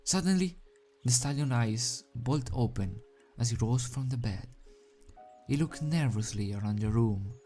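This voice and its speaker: A man's voice: mid-range voice, animated, a little slow, warm tone. Man narrating a story over music. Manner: stumbles over words and rereads phrases. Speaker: amateur fan fiction reader. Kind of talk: dramatic scripted story narration.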